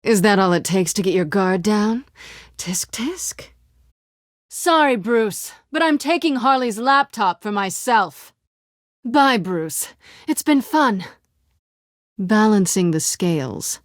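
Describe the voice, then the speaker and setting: A woman's voice: medium pitch, animated, slow, dry recording, studio quality. A woman voicing a sly, self-assured cat burglar in a video game, with a sultry, wry, coolly teasing way of talking. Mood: taunting, playful. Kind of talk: video game voice acting, dramatic dialogue.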